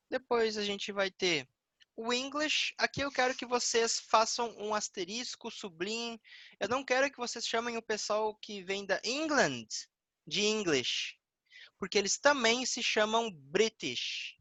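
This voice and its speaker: A male English teacher with a Brazilian Portuguese accent, explaining a lesson patiently and repeating words slowly. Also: sits high, sharp tone, no room sound.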